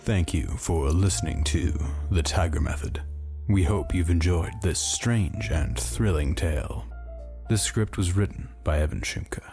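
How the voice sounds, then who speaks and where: A man with a low voice reads scripted end credits for an audio drama. Guitar music plays clearly behind him. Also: unhurried. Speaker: director reading the closing credits.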